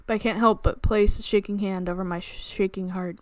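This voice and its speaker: A woman doing expressive let's play commentary, mixing dramatic reading of a visual novel with casual, reactive asides. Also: mid-range voice, a little fast, no echo, studio quality. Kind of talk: game commentary mixed with dramatic read-aloud. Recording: music playing behind the voice.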